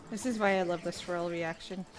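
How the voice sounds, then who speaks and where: A woman's voice: on the low side, fairly even intonation, brisk, music in the background. A woman livestreaming a video game with casual, off-the-cuff commentary.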